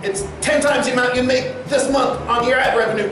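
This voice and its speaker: A man's voice: very high-pitched, steady tone, brisk. A man playing a clown corporate spokesman in a comedy sketch, with a mocking, condescending, emphatic delivery. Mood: pleading, panicked. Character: smug, taunting clown corporate spokesman of a video site. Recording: roomy echo with faint music behind.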